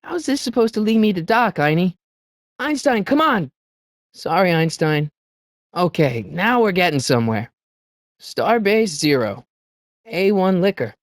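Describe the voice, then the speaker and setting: Game role: earnest, excitable teen boy adventurer, very lively pitch movement, flustered stammer, dry studio sound. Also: unhurried, pauses often, no echo. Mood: puzzled, casual. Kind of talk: video game voice acting.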